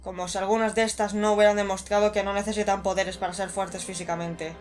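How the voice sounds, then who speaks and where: Video reviewer: woman, Spain Spanish accent, informal critical delivery, mid-pitched, light music underneath. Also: flat delivery, fast. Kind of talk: scripted review narration.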